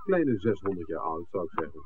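Narrow-band vintage radio, wry screenwriter, lowish voice, dry, teasing, level-headed. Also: male voice, very animated, fairly quick, background noise. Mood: wry, measured. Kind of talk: 1960s Dutch radio play acting. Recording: thin, muffled 1960s radio recording.

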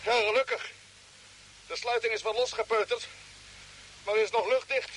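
An actor playing an urgent, concerned space expedition commander in a vintage radio drama, with exclamatory delivery. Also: male voice, extremely high pitch, fairly even intonation, normal speed, fairly bright tone, low-quality recording. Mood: anxious concern. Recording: hissy old radio recording, background noise.

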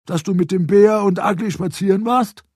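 A man voicing a nosy, mocking gentleman in an audiobook, incredulous, needling and easily affronted. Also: high voice, normal speed, slightly rough, no room sound, clean studio sound, voice pushed up. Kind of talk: audiobook character voice.

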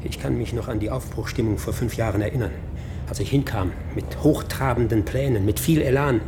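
A man's voice: mid-range voice, a little fast, close to an everyday voice. Guy playing haunted tired scientist on the run, grave and brooding, sci-fi radio play, lots of background noise. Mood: bitter, declamatory. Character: weary, haunted fugitive scientist, a grave man. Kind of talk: German science-fiction radio drama acting.

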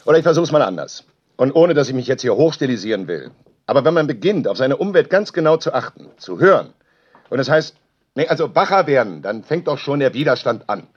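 An actor playing a defensive, volatile sound artist in naturalistic radio drama, argumentative and given to ranting and swearing. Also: male voice, mid-range voice, very animated, fairly quick. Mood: argumentative, earnest. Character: defensive, volatile male sound artist who records everything. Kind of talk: naturalistic radio play dialogue. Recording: dull, lo-fi home tape sound.

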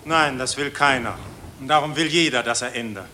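An actor playing a weary, curt army lieutenant at the front in a radio drama, speaking tersely and matter-of-factly. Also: male voice, mid-range voice, normal speed, noisy background, lightly acted. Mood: weary, dry. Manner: terse, dry, matter-of-fact.

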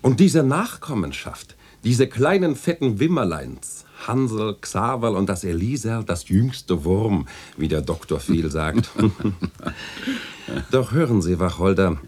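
An actor playing a jovial, teasing literary critic in a German radio play, husky and theatrical, speaking in florid, ironic phrases. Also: male voice, lowish voice, big pitch swings, slow pace, a little mellow, exaggerated voice. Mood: teasing, amused.

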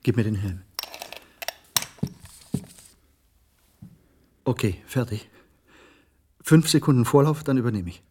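An actor playing a blunt, passionate technician in a science-fiction radio play, with a rough, husky voice. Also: male voice, medium pitch, expressive, brisk, a little mellow. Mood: tense, focused. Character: blunt, passionate, impulsive male virtual-reality technician. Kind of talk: German radio play acting.

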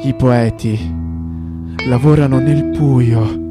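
A man giving a dramatic poetry reading in a theatrical way, with pauses between phrases. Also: medium pitch, steady tone. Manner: slow, theatrical delivery with pauses. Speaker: stage performer, voice and reading. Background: guitar, piano and synth music.

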